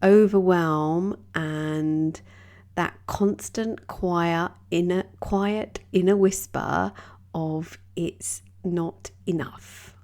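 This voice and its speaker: A woman hosting a wellbeing podcast, speaking gently with a slightly croaky voice. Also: fairly low pitch, steady tone. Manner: soft, gentle, unhurried delivery with drawn-out phrases. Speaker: yoga and wellbeing podcast host. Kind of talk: reflective wellbeing talk.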